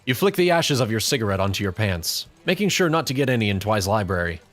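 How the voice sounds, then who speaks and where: A man's voice: lowish voice, sing-song, quick pace, fairly bright tone, faint music behind. A man narrating a scripted story in the second person.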